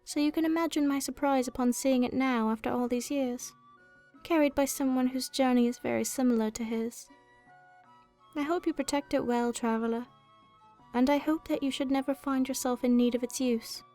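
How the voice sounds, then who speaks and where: A woman voicing an ancient, gentle female dragon in a soft ASMR roleplay, speaking wistfully and formally, as if telling a storybook tale. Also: sits high, steady tone, moderate pace, faint music behind, natural voice. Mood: gentle, solemn.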